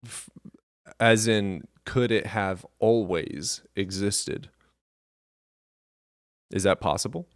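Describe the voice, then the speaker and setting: Host, male, low voice, dry close sound, direct questioner. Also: takes it slowly, long pauses, no room sound. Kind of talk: call-in debate show.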